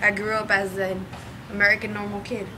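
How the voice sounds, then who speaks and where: A woman's voice: mid-range voice, little pitch movement, brisk, background noise. A young woman answering an interview plainly and matter-of-factly.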